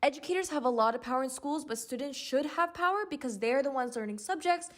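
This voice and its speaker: A woman's voice: sits high, fast talker. A young woman, a student speaker, reading out a scripted personal statement. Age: young adult.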